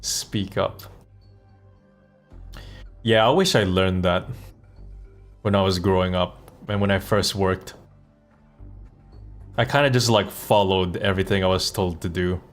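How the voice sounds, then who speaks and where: A man giving casual live game commentary as a streamer, talking in a halting, reflective way. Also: low-pitched, fairly even intonation. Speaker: streamer and voice actor. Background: game music.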